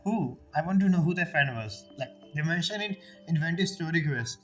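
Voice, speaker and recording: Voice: medium pitch, lively intonation, fairly quick. A game streamer with a non-native English accent, giving casual commentary and reading dialogue aloud. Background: background game music.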